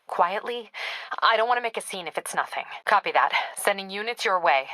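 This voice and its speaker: Bright, crisp no-nonsense policewoman. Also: medium pitch, expressive, no echo, rough recording. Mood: businesslike, urgent. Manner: brisk, clipped, authoritative. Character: no-nonsense female police captain, dry and businesslike. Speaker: voice actor in a video game. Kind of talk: video game dialogue.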